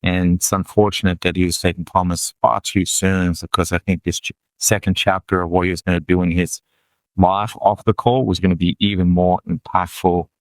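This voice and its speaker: A man's voice: sits low, level tone, normal speed, no room sound, low-quality recording. A man speaking as an interview guest, earnest and repeating phrases for emphasis. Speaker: guest, executive coach. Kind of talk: casual conversational interview.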